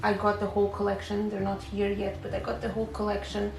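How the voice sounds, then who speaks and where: Portuguese-accented woman, gravelly and roomy. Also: medium pitch, completely flat delivery, fast talker, a little mellow, a little hiss or hum. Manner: hesitant, many fillers and restarts. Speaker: booktuber. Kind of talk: casual vlog chat. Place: home.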